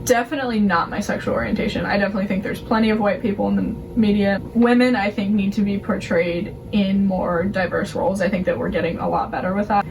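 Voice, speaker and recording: A woman's voice: medium pitch, steady tone, fast talker, vocal fry, hardly any pauses, distant mic. A woman of twenty, talking candidly and bluntly in an outgoing, chatty way. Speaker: interviewee. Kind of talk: candid casual talk. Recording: noisy background hiss.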